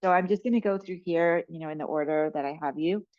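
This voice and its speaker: A woman's voice: lowish voice, quick pace, a little mellow, no room sound, muffled recording. A woman moderating a panel webinar, asking questions with frequent hesitations. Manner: hesitant, many fillers. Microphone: video call.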